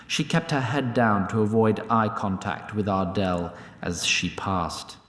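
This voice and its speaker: A man's voice: on the low side, measured pace, fairly bright tone, echoey room. A man narrating a scripted fantasy tale in a theatrical, mock-grand and droll storyteller's manner.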